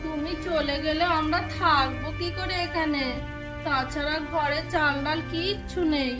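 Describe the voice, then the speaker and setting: A woman's voice: high voice, measured pace, music in the background. A woman playing a scheming, self-serving middle-aged village stepmother in a cartoon folk tale, with pointed, exclaiming delivery. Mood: worried.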